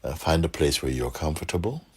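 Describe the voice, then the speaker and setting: A man guiding a meditation for a group, speaking softly and calmly with gentle pauses and a husky, breathy voice. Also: extremely low pitch. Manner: unhurried, gentle pauses, calm instruction. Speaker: meditation guide. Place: room with a seated group, doors closed.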